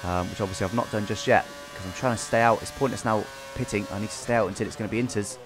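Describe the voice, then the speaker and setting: A man's voice: on the low side, steady tone, rapid-fire. A man giving casual, explanatory commentary over his own racing game race, recapping as he goes.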